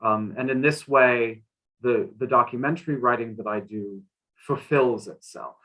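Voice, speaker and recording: Bright-voiced man, thoughtful panel speaker. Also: mid-range voice, poor audio. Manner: thoughtful, halting with repeated phrases. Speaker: literary translator and interpreter. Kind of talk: panel discussion, interpreting and answering questions.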